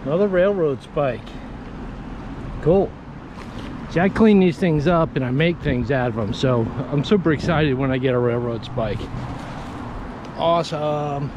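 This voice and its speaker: A man in his forties talks to his viewers in an upbeat, chatty way while he works outdoors on an old railroad bridge. His voice sits a bit high. The wearable action camera picks up clear background noise from outside. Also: a little slow, long pauses. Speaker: magnet fishing vlogger. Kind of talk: casual outdoor vlog narration. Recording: noisy outdoor action-cam audio.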